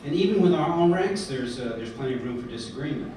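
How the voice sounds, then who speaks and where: A man's voice: fairly high pitch, expressive, fast, a little mellow. Man speaking in an echoey hall. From United States. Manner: earnest, with frequent fillers and restarts. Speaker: convention speaker. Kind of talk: prepared speech at a podium. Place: large hotel conference hall, indoors. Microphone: podium mic, filmed by a far camera. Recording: echoey hall from far off, background noise.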